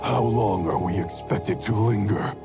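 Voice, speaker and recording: A man's voice: lowish voice, measured pace, natural voice. An actor playing a cold, proud male clan grand master in a game cutscene. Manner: cold, contemptuous. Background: orchestral game music.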